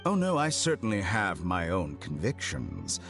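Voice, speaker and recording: An actor playing an arrogant, calculating scholar villain in a video game, speaking in a deliberate, condescending, mock-polite way. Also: male voice, low-pitched, huge pitch swings, a bit bright, soft background music, exaggerated voice. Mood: cool, condescending.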